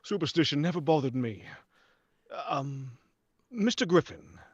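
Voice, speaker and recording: A man's voice: on the high side, animated, measured pace, slightly rough, no room sound. A man playing a stubborn, sceptical and suspicious house buyer in 1940s radio drama style, on a lo-fi recording. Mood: unbothered, curious. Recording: dull lo-fi sound with faint noise.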